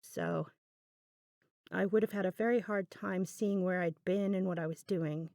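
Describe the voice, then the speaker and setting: A woman's voice: fairly low pitch, steady tone, measured pace, vocal fry, dark tone, no room sound, studio quality. A woman hosting a craft video in a casual, unscripted voiceover, musing aloud in a chatty, self-deprecating way. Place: indoors, voice-over recorded apart from the video.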